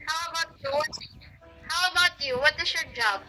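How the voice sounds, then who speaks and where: A woman in her thirties from the Philippines with a Filipino accent, chatting on a video call and searching haltingly for her English words. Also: sits high, music in the background, low-quality recording. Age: 30s. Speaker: restaurant crew worker. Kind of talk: casual video chat.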